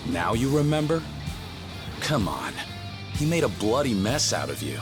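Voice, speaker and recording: A man's voice: medium pitch, very animated, a little slow. An actor voicing a stoic, terse young male yakuza brawler in an English game dub, with a dry, clipped, understated delivery. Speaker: game character. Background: game soundtrack music.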